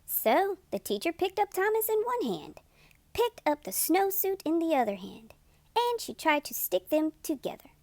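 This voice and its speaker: A woman hosting storytime, reading a children's book in an expressive, deliberate and emphatic narration. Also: extremely high pitch, dry recording. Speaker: storytime host and reader.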